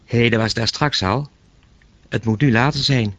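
A man's voice: mid-range voice, normal speed, heavy background noise, close to an everyday voice. A man playing a baffled, persistent telephone caller in a radio play, questioning with irritable, incredulous curiosity. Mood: curious, polite. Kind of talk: radio play acting, conversational.

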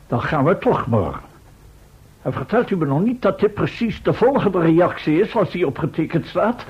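A man's voice: a little high, sing-song, normal speed, breathy edge, full character voice. A man acting an older, stubborn and easily frightened professor in a Dutch radio play, speaking in an indignant, formal, sputtering way. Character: fussy, frightened, stubborn old male professor. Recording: muffled, noisy old radio play recording.

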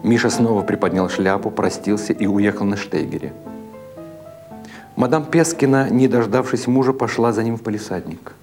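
A man with a fairly low voice reads literary fiction in a dramatic audiobook style. His delivery is measured and deliberate, with expressive phrasing. Soft music sits behind him. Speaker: actor narrating literary short stories. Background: music behind the reading.

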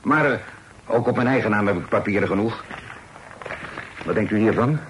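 An actor playing a bold, sly pirate who talks calmly and is full of sailor's sayings, in an old radio drama. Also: male voice, on the low side, moderate pace, a little hiss or hum, close to an everyday voice, poor audio. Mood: persuasive. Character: smooth-talking male pirate, bold and sly.

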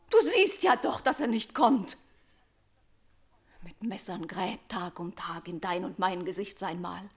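A trapped young princess, highish voice, anxious breathy pleading, yearning, old-school stage tragedy on radio. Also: female voice. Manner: pleading, breathless, fearful, rising into desperate outcry. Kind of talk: classical German stage-tragedy radio acting.

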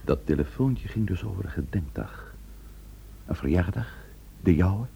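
Gravelly, concerned, questioning man. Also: low-pitched, expressive, measured pace, dark tone, full character voice. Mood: probing, concerned. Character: concerned man asking calm, probing questions. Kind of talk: radio play acting. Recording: muffled, noisy old radio recording.

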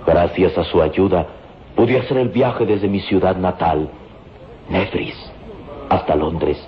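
An actor playing a solemn, loyal Egyptian disciple who guards an ancient secret, speaking in a formal, portentous manner for radio drama. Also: male voice, deep voice, slow pace. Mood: devoted, humble. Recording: dull, noisy vintage radio recording.

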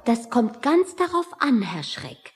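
A woman's voice: on the high side, very animated, slow pace, in a large hall. An actress playing an effusive, earnest woman who admires and lectures in a satirical radio play. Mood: earnest lecturing.

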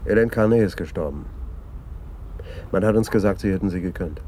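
Guy playing a no-nonsense cop in a radio play, mellow low voice, faint street noise. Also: brisk, close to an everyday voice. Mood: businesslike. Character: businesslike police detective questioning a witness. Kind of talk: German radio crime drama acting.

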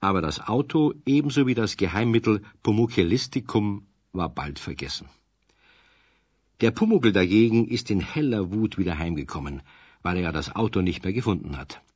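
A man's voice: on the low side, expressive, normal speed, darkish tone, husky, no room sound. A man as the narrator of a children's radio story, telling it expressively. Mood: wry storytelling. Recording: dull 1970s radio recording.

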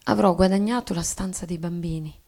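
An actress playing a solemn, tragic wife in a poetic, declamatory radio theatre monologue. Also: female voice, fairly low pitch, fairly even intonation, a little fast, warm tone. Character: bourgeois wife, solemn and tragic, resolved on death.

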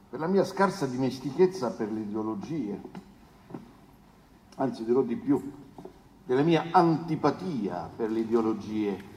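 A man's voice: medium pitch, a little mellow. An actor playing a smug, pompous male defence lawyer, pleading theatrically in a courtroom drama with insinuating, rhetorical emphasis. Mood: pompous disdain. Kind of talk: theatrical courtroom oration, stage adaptation of a trial. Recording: echoey hall with long reverb, background noise.

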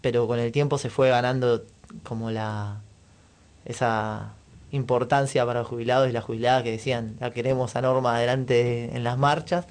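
A man's voice: medium pitch, steady tone, normal speed, a little mellow. An Argentine man hosting a radio show, talking politics in an informal, conversational way. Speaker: radio host. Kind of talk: casual chat, political commentary.

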